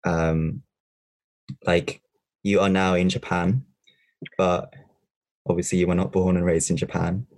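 A man's voice: low voice, level tone, measured pace, long pauses, darkish tone. A man hosting a podcast interview, asking questions hesitantly with many fillers and stalls. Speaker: podcast host. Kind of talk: casual interview.

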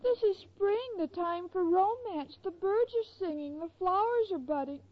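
A woman's voice: very high voice, lively intonation, normal speed. An actress playing a naive, ditzy young blonde woman in a 1940s radio sitcom. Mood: lovesick, weepy. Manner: naive, literal-minded. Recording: dull vintage 1940s radio, studio audience.

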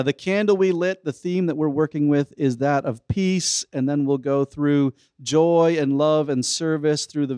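A man hosting a church podcast in a casual chat, relaxed and rambling with many fillers. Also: on the high side, clear, resonant tone, dry recording, studio quality. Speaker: podcast host.

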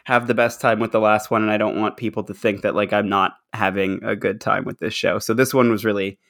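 A man's voice: fairly low pitch, flat delivery, brisk, fairly bright tone. A man co-hosting a podcast in casual chat, with a jokey, self-deprecating, rambling way of talking. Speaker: podcast co-host.

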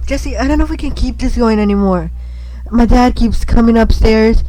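A woman playing an emotional, pleading teenage daughter in an amateur radio drama, speaking haltingly. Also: fairly high pitch, warm tone, slight background noise, natural voice, poor audio. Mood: sad, worried. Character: pleading teenage daughter, secretly pregnant, in love. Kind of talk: amateur old-time radio drama acting.

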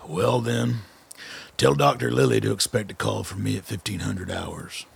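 Male actor as gruff older colonel for radio drama: heavy rasp, very husky, terse and commanding. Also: fairly low pitch, fairly even intonation, measured pace, full character voice. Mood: wry, grim. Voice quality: gravelly, husky. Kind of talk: radio play acting.

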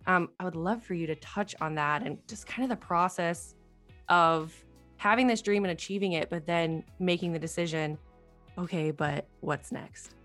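A woman's voice: lowish voice, normal speed, fairly bright tone, soft background music. A young adult woman hosting a casual podcast chat, eager and encouraging toward her guest. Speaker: podcast host.

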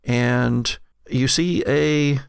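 A man narrating a horror tabletop game as game master, telling it in a halting, descriptive way. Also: mid-range voice, fairly even intonation, creaky, smooth, no echo, clean studio sound.

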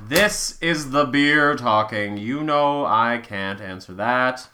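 A woman's voice: rumbling low, lively intonation, slow pace, sharp tone, a little hiss or hum, exaggerated voice. A woman reading the lines of a guarded, weary young girlfriend from a fan story.

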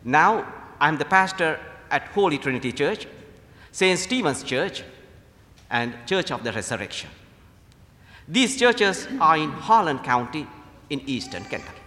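Sri lankan guy preaching like a priest, voice kinda high and swinging up and down, big echoey church sound. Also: sing-song, unhurried, long pauses, pushed and bright. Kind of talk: sermon. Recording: echoey hall with long reverb.

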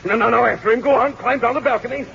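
An actor playing a fussy, commanding and exasperated court general in a 1940s radio comedy. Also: male voice, very high-pitched, rapid-fire, vocal fry, fairly bright tone, highs cut off, heavily acted. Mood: urgent. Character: fussy, urgent male court general coaching an impostor. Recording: noisy band-limited 1940s radio broadcast.

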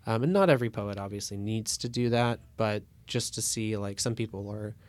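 Thoughtful man with a smooth voice. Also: fairly low pitch, a little fast, no room sound. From Russia. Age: 30s. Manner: thoughtful, hesitant, many fillers. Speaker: guest. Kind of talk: casual interview talk.

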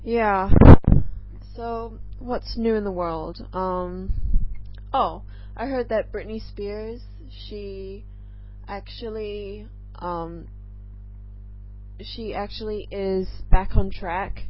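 Young english-born woman w/ a mostly american mishmash accent, slow nervous rambly podcast chat, lots of ums, dull lo-fi sound. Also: medium pitch, steady tone, slight background noise. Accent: mixed, mostly American-sounding. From England. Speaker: podcast host. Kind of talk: casual solo chat. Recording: dull lo-fi recording, faint music.

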